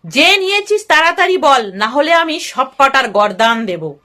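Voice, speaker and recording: A woman storyteller voicing a big, burly male bandit chief who angrily shouts threats. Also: sits high, sing-song, moderate pace, heavily acted. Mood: enraged, threatening.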